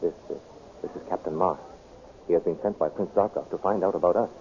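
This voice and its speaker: An actor playing an earnest, commanding captive hero in a 1930s radio serial. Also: male voice, deep voice, fairly quick, mellow, slight background noise. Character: urgent, resolute captive hero plotting an escape. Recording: tinny old radio recording.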